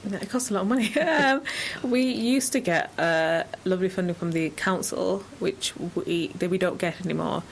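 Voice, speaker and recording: A woman's voice: fairly low pitch, moderate pace, creaky, hardly any pauses, slight background noise. A woman giving informal, explanatory answers as a charity guest in an interview.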